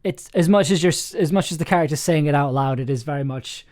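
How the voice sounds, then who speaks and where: A man's voice: a little high, quick pace, darkish tone. A man hosting a casual script session, giving encouraging notes while searching for his words. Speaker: host and scriptwriter.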